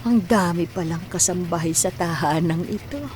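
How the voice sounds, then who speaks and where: A woman's voice: fairly low pitch, normal speed, a little mellow, a little hiss or hum, lightly acted. A woman playing a humble, grateful, deferential new housemaid in a Filipino radio drama.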